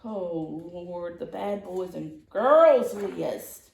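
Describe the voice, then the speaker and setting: A woman teacher reading a children's storybook aloud in a dramatic, expressive way. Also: fairly low pitch, extremely slow, vocal fry. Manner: dramatic, expressive, with gasps and asides to listeners. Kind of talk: animated storybook read-aloud. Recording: roomy, echoey sound.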